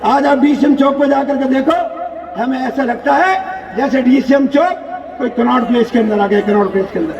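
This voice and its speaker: Male politician, very high raised voice, declamatory rally oratory, repetitive phrasing, far from the mic, booming echo. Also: normal speed, dull audio, a crowd in the background, noisy background. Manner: loud, declamatory, with repeated phrases and rhetorical questions. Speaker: politician, election candidate giving a campaign speech. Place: large public political gathering. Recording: echoey PA at crowded rally, distant mic.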